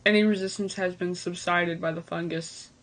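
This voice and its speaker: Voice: medium pitch, fairly even intonation, moderate pace, a bit bright, rough recording. A reaction video host reading on-screen text aloud and breaking into casual, speculative asides. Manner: casual asides between read-out passages.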